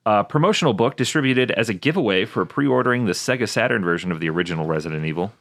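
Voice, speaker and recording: A man's voice: on the low side, animated, fast, a bit bright, no echo. A man co-hosting a podcast in casual chat, joking irreverently and reading from notes. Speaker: podcast co-host.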